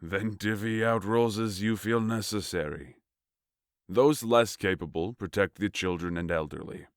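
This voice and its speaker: A man playing a large, ruthless warrior king in a fantasy audio story, speaking in a measured, commanding, formal way. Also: fairly low pitch, clear, resonant tone, no room sound, studio quality, close to an everyday voice. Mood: cold, resolute. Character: large, tall warlord king, cold, commanding, ruthless strategist. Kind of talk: audio fiction acting.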